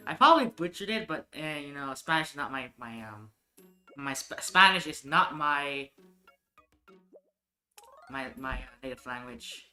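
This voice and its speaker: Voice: mid-range voice, big pitch swings, takes it slowly, long pauses, pushed and bright, roomy sound, faint music behind. A live streamer chatting casually while gaming, thinking aloud and restarting sentences often.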